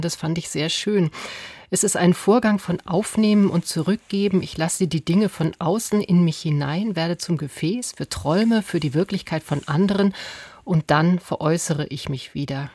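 A woman's voice: on the low side, a little mellow, husky, no room sound. Female radio interviewer, conversational cultural-programme delivery, close and dry studio recording.

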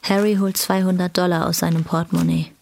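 Low, creaky, even-toned woman narrator. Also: brisk. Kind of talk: scripted radio drama narration. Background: guitar music.

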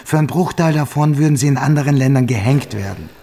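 An actor playing a stern, sceptical law officer in a radio drama, gravelly and husky, dryly condescending. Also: male voice, mid-range voice, quick pace, dark tone, slight background noise, lightly acted. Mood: stern, dismissive. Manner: condescending, dry. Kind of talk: naturalistic German radio drama acting.

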